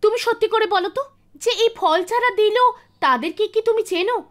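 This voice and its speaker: A woman's voice: very high-pitched, normal speed. A woman voicing a poor man's sceptical, scolding wife in a cartoon, nagging and exclamatory. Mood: amazed. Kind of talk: animated moral story dialogue. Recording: music under the voice.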